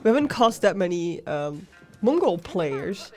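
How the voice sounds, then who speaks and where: A woman's voice: fairly low pitch, big pitch swings, a little slow, dark tone, soft background music. A woman with a Dutch accent doing casual, chatty game commentary as a caster. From Netherlands. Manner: chatty, laughs easily.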